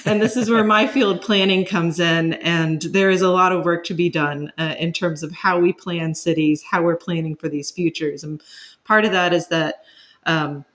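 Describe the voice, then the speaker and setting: Low-voiced academic woman being interviewed. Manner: measured, academic, qualifying her points. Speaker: podcast guest, city planning expert. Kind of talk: explanatory interview talk.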